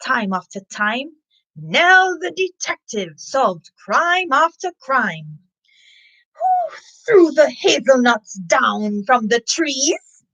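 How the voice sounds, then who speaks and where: Female children's story host: higher-pitched, animated and unhurried, expressive reader who talks directly to her listeners. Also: low-quality recording. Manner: animated storybook delivery with sniffing and asides to listeners. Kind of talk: live chat and expressive picture-book reading.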